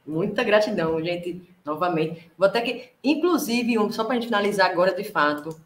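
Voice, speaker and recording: A woman's voice: medium pitch, animated, normal speed. A woman host with a mid-range voice chats with her guest and speaks directly to her audience. Her voice sounds roomy and echoey, as if recorded in an untreated room. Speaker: podcast host. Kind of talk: casual interview chat.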